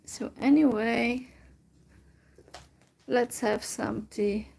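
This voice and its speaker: A young woman from Central Europe chatting casually to her viewers in a halting way, with many fillers and restarts. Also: on the high side, steady tone, creaky, a little mellow. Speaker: vlogger. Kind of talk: casual solo chat to viewers.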